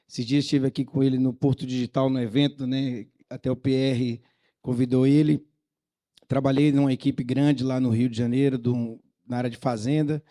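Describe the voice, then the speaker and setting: Middle-aged Brazilian man, relaxed warm timbre, medium pitch, informal public-sector panelist who checks in with short tags. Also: moderate pace. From Bahia, Brazil. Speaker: government planning secretary, panelist.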